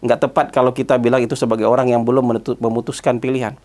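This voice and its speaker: A man with a stuffy, hoarse voice from a cold, talking through his commentary with lots of "ya" and "eh" fillers. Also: medium pitch, level tone, very fast, a little mellow. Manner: conversational, frequent "ya" and "eh" fillers. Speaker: political commentator hosting his own channel. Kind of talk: solo spoken commentary.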